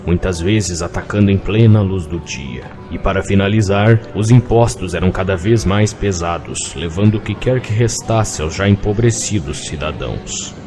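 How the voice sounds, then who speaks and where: A man's voice: fairly low pitch, natural voice. A man playing a kindly, lonely old innkeeper, telling tales with theatrical phrasing in an audio drama. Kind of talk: dramatic fairy-tale narration in an audio drama.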